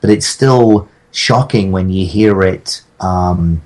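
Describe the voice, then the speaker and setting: A man chatting casually as a podcast guest, telling stories in a halting, rambling way. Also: sits low, a little mellow, low-quality recording. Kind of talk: casual anecdotal chat.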